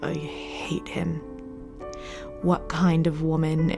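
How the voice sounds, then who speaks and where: An actress playing a bitter, haunted young 1950s wife reciting her diary in a brooding, deliberate audio drama monologue. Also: sits low, level tone, vocal fry, music in the background, heavily acted. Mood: seething contempt. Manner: brooding, deliberate, with heavy pauses.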